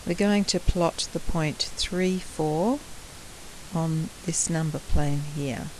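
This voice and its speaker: A croaky-voiced woman teacher talks through a maths lesson at an unhurried pace, her voice sitting low. She explains patiently and clears her throat from time to time. A faint hiss lies under the laptop recording. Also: a little mellow, rough recording. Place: at home, drawing on a laptop.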